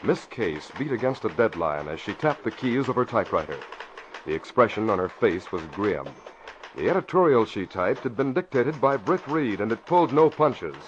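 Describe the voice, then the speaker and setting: Animated male old-time radio announcer. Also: on the low side, moderate pace. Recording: muffled, noisy vintage radio broadcast.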